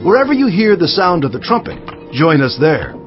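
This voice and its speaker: An actor playing a resolute biblical governor, narrating scripture in a solemn, emphatic, declamatory manner. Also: male voice, fairly high pitch, big pitch swings, moderate pace, highs cut off, soft background music. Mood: rousing. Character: resolute, indignant biblical governor recounting his own deeds. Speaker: narrator of dramatized audio Bible.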